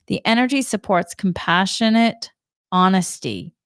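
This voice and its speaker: Woman with a Boston accent, middle-aged, smooth resonant voice, dry close sound, calm spiritual guidance. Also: mid-range voice, a little slow, no echo. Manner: gentle, repetitive, reflective. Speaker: spiritual energy guide, Reiki practitioner.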